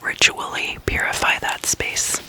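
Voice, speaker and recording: A person whispering a guided meditation in a breathy, unvoiced voice, giving instructions in halting, spaced-out phrases. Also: bright, forceful tone.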